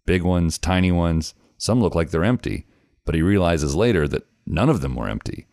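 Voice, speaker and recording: A man, the show's host, reading a scary story aloud in a measured, grave storytelling manner. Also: deep voice, expressive, creaky, a little mellow, no room sound, clean studio sound. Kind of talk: scripted narration of a horror story.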